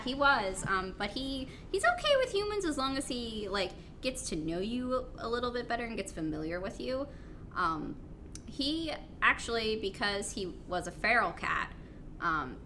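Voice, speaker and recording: Woman, bright tone, informal chat, digressive and hesitant, faint background noise. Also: mid-range voice. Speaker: panelist on a fan Q&A show. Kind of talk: casual chat, informal explaining.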